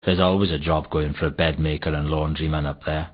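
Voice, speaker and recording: An actor playing a surly, sarcastic bartender in a fantasy noir video game, talking in a grudging way. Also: male voice, very deep voice, steady tone, brisk, breathy edge. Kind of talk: scripted video game dialogue. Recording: dull, lo-fi game audio.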